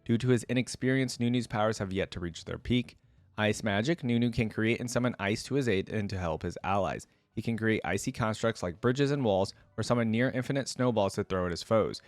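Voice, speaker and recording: A man's voice: lowish voice, brisk, no room sound. A man giving casual, unscripted reaction commentary as a video host, chatty and thinking aloud.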